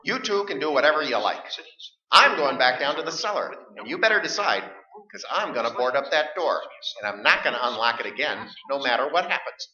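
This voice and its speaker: A man's voice: mid-range voice, big pitch swings, brisk, bright, forceful tone. A male actor in a staged radio play, playing a stubborn, frightened middle-aged husband who argues in an agitated, stammering way. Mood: insistent, agitated. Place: live stage performance. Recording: dull, echoey live stage recording.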